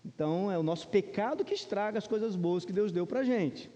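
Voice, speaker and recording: Man, Brazilian accent, soft mellow tone, conversational Bible teaching, question tags, long hall reverb. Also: sits high, animated, a little fast, lo-fi sound. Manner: informal, asks the audience questions and checks in with rhetorical tags. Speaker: Sunday school teacher at a church. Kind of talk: conversational Bible lesson to a congregation. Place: large church room, speaking to a congregation.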